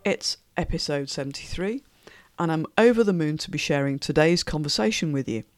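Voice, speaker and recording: A woman hosting a podcast, reading a scripted intro with an upbeat, measured delivery, then opening an interview. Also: low-pitched, lively intonation, over music. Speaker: podcast host.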